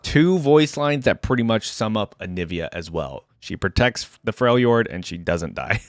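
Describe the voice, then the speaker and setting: A man's voice: on the low side, lively intonation, normal speed, no room sound, clean studio sound. A man hosting a reaction video, giving casual, informal commentary as he thinks aloud.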